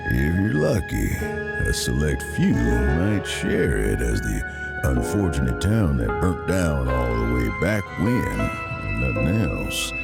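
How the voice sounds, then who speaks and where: A man playing a big booming announcer, a formal and portentous storyteller narrating a Western audio drama with gravel in the voice. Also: big pitch swings, slow pace, over loud music, exaggerated voice. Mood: ominous, measured.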